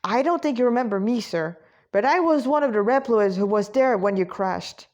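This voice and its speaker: A woman's voice: on the high side, lively intonation, normal speed, a little mellow, highs cut off, lightly acted. Woman as a bashful, deferential android guard. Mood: respectful. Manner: deferential, rambling, hesitant.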